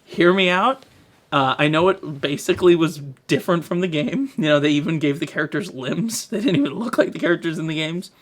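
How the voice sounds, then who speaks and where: Guy on a podcast, a bit high pitched, easygoing and jokey, chatting about movies. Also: brisk. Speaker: podcast host. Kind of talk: casual film review chat.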